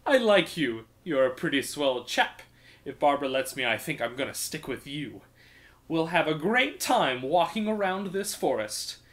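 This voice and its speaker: A man's voice: a little high, very animated, moderate pace. A man voicing a relentlessly cheerful, rambling wandering minstrel with a sing-song delivery, in a storybook reading. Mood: delighted, friendly.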